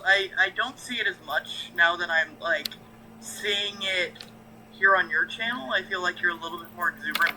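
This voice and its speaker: A man's voice: sits high, pushed and bright, slight background noise, rough recording. A man who reacts to shows on YouTube, talking frankly as a guest on a stream, rambling through his thoughts. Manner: rambling, frank, lots of fillers and restarts. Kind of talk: casual stream chat.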